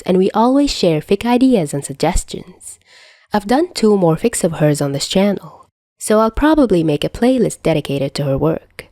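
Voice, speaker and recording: A woman's voice: medium pitch, mellow, no echo, studio quality. A woman narrating fan fiction as an amateur audio story reader. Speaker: podfic narrator.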